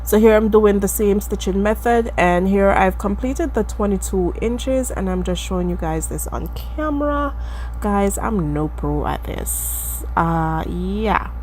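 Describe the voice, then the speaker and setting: A woman from the Caribbean with a stuffy, allergy-blocked nose chats casually through a wig-making tutorial, calling her viewers "guys" and laughing off her own skills. Her voice sits at a middle pitch. A faint hum runs under the recording. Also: animated, a little slow, a little mellow. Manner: chatty, addresses viewers as guys, self-deprecating. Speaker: beauty vlogger.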